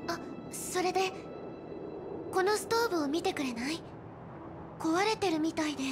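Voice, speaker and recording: A woman voicing a calm, practical young girl explorer in an anime game, soft-spoken and matter-of-fact. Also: very high-pitched, normal speed, over music. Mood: modest.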